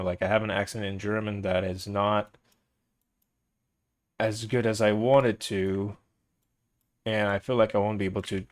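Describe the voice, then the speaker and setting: Streamer, young man, Portuguese accent, gravelly lowish voice, rambly, gropes for words. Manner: rambling, searches for words, laughs often. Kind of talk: casual chat with viewers.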